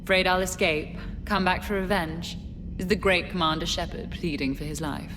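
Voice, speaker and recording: A woman's voice: lowish voice, steady tone, moderate pace, lightly acted. A woman playing a sly, seductive game villain, a captured traitor who taunts in a silky drawl. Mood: mocking, smug. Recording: reverberant hall with clear background noise.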